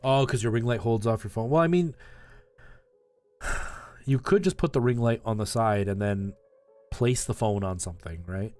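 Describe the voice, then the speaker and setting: A man's voice: fairly low pitch, moderate pace, long pauses, darkish tone, faint music behind. A man chatting casually and informally on a live game stream, rambling in asides to his viewers and giving tips.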